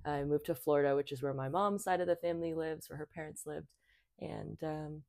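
A woman's voice: low voice, steady tone, fairly quick, vocal fry, a little mellow, no echo, clean studio sound. A woman in her twenties, born in Thailand, chatting as a podcast guest, with many fillers and restarts. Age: 20s. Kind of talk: casual conversation.

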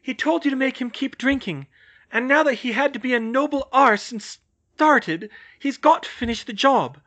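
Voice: lively intonation, normal speed, fairly bright tone, muffled recording. A performer voicing an anxious, insistent teenage girl, shaky but urgent. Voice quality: high, shaky. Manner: urgent, faltering, pressing.

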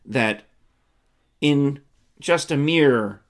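A man's voice: medium pitch, very slow. A man in his fifties hosting a casual chat from home, joking and laughing often. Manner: animated, joking, laughs a lot. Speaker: host. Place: home room, indoors. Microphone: desk camera framing.

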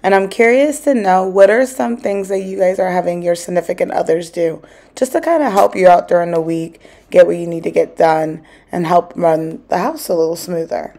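A woman's voice: fairly low pitch, moderate pace, warm tone. A woman in her thirties with an American accent, chatting casually and encouragingly to her viewers in a home cleaning vlog. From South Jersey, USA. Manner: chatty, encouraging, with many "like" fillers. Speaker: vlogger, stay-at-home mom. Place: parked car, then home.

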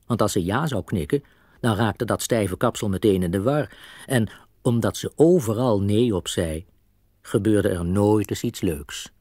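A man serves as storyteller for a children's audio play. His voice sits on the low side and is recorded dry, in scripted narration. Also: unhurried, a little mellow, dry recording.

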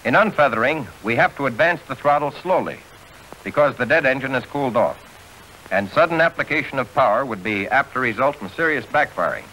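An American man narrates a 1940s training film in a measured, instructional way. His voice is bright and forward, at a middling pitch. A faint hiss from the old film soundtrack sits under him. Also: animated, rough recording.

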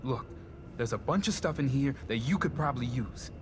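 A man's voice: mid-range voice, expressive, moderate pace, noisy background, close to an everyday voice. An actor playing a nervous male programmer and hacker in a video game, talking in a wheedling, persuasive way. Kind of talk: video game cutscene acting.

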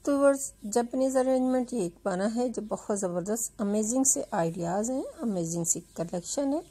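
A woman's voice: fairly high pitch, lively intonation, moderate pace, warm tone. A woman host giving a chatty, repetitive voice-over, addressing her viewers as friends. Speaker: video channel host.

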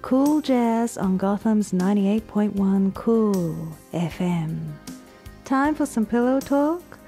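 A woman voicing a sultry late-night jazz radio announcer, slow and seductive in a station promo. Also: medium pitch, expressive, dark tone, soft background music.

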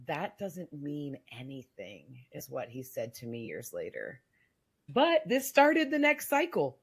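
A woman with a very low voice telling her personal story in a podcast conversation, reflective and halting with many restarts. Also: sing-song, breathy edge. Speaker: guest sharing her story.

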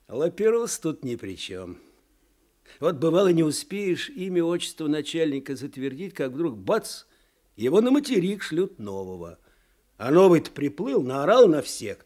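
Old husky office clerk in a soviet radio play, wry n folksy, voice swings up and down a lot. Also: male voice, fairly high pitch, sing-song, measured pace, a little mellow. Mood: wry grumbling. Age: older. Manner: folksy, wry, chuckling colloquial speech. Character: weary sardonic older male provincial clerk, chatty, servile. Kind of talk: Soviet radio drama acting.

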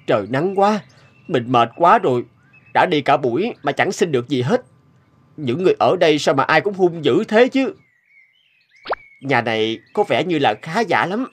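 A man's voice: a little high, very animated, moderate pace, long pauses, slightly rough. A man playing a poor, forgiving wandering woodcutter, polite and earnest, in a fairy-tale cartoon. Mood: weary, pleading. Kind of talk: animated fairy-tale dubbing.

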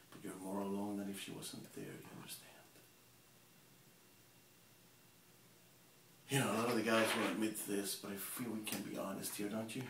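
Man acting as cold serial killer, low gravelly voice, halting intimate confession, theatrical monologue, roomy distant recording. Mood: cold, menacing. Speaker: amateur actor performing a monologue. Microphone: camera mic at some distance. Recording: roomy, distant camera mic, hissy.

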